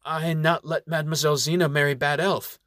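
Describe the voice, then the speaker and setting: A man reading a storybook voices a shy, submissive male elf who speaks halting broken English. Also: a little high, little pitch movement, fairly bright tone, dry recording, studio quality, pitched above the performer's own voice, natural voice. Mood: unsure, stubborn.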